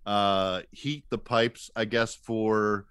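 A man's voice: on the low side, steady tone, slow, clean tone, dry recording, studio quality. A man telling comic stories casually as a podcast guest, often laughing as he talks. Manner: laughs often while talking, acts out shouted quotes. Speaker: comedian guest on a podcast, works in elevators.

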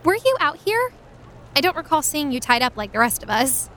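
An excitable adventurer, acted by a woman, with a high voice that leaps around as she chatters. She is animated and easily dazzled, with faint noisy ambience behind her. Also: a little fast. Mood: puzzled, disbelieving. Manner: gushing, animated. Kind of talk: audio drama acting.

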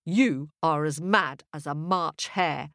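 A woman's voice: low voice, slow pace, gravelly, fairly bright tone, no room sound, studio quality, exaggerated voice. A woman voicing a pompous, impatient king in a folk tale, imperious and blustering. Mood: scornful, dismissive. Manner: imperious, blustering, repeats himself. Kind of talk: storybook character voice.

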